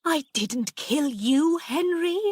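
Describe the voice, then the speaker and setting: A woman voicing a haughty, guilt-ridden aunt in a theatrical, emotional, drawn-out way for a story. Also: medium pitch, fairly even intonation, big-room sound, lightly acted. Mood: frightened, pleading. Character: dramatic aunt, haughty and guilty, easily frightened.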